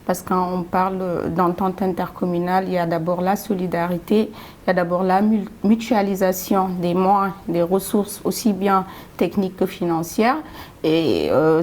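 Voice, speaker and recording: A woman answering as a studio guest, halting and repeating words as she goes. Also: fairly low pitch, steady tone, a little mellow, a little hiss or hum. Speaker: development programme representative, studio guest. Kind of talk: spontaneous interview answers.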